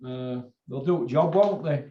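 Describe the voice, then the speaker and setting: A man's voice: medium pitch, moderate pace. A man with a Northern English accent chatting casually as host, in a rambling, colloquial way that circles back on itself. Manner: rambling, colloquial, sweary, repeats himself. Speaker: host of a boxing video channel. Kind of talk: casual chat. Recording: roomy, dull lo-fi sound.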